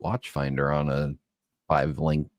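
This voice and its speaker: A man's voice: extremely low pitch, little pitch movement, measured pace, no echo, studio quality. A man from Southern California co-hosting a casual chat, telling rambling stories and teasing his co-host.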